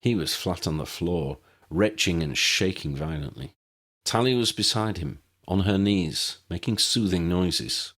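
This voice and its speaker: A man's voice: sits low, a little slow, fairly bright tone. A man reading a scripted story aloud as an audiobook narrator.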